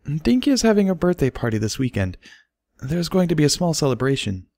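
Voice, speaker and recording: Man voicing a flustered pony mare, pitched up. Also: big pitch swings, a little fast, darkish tone, dry recording, studio quality. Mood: flustered, pleased. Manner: hesitant, stammering. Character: shy, flustered pony mare, embarrassed doting mother.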